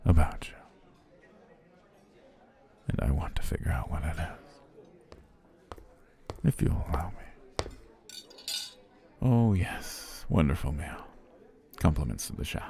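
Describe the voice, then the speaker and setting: An actor playing an obsessive, smooth-talking male potion seller in an ASMR roleplay, speaking in soft, flowery, courtly phrases that often drop to a whisper. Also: very deep voice, full character voice.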